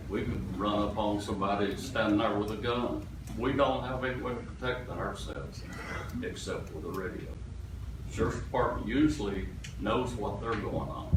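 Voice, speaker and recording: An older man, a fire chief giving plain, matter-of-fact informal comments at a public meeting. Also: on the low side. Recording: very echoey, distant mic, noisy room.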